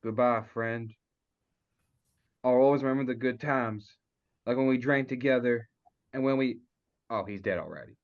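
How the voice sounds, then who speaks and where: A man's voice: mid-range voice, normal speed, long pauses, natural voice. A man acting a foul-mouthed, sentimental schemer in a comedy table read, over a dull online connection. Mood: sorry, sentimental.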